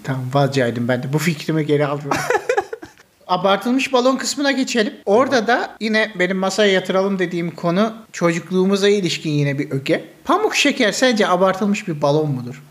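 A man's voice: high voice, animated, fairly quick, fairly bright tone. A young man co-hosting a podcast in casual, slangy, joking chat. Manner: jokey, slangy. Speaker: podcast co-host.

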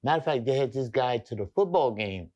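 A man's voice: mid-range voice, animated, brisk, a little mellow, dull audio. A man in his fifties giving an enthusiastic testimonial, often repeating and restarting his words. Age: 50s. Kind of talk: casual testimonial chat.

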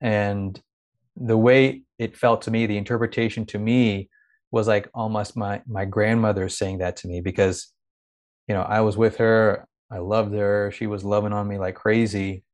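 A man who grew up in India talks in a reflective, searching way. His voice sits a little low, and he often restarts phrases as he gathers his thoughts. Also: flat delivery, normal speed, darkish tone. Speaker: guest. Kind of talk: reflective conversational interview.

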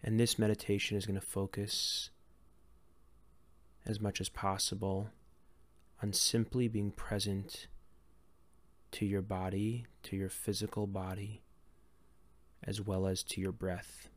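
A man leading a guided meditation in a gentle, calm, unhurried way with long pauses between phrases. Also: lowish voice. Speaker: meditation guide and series host.

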